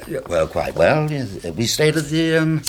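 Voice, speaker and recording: An actor playing a polite, hesitant middle-aged English army major in a radio drama, reserved and a little wistful. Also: male voice, medium pitch, sing-song, moderate pace, a little hiss or hum, natural voice.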